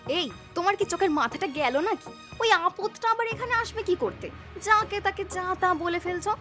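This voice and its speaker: A woman voicing a sharp-tongued village woman in a cartoon. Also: very high voice, moderate pace, over music. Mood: snappish. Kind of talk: Bengali cartoon voice acting.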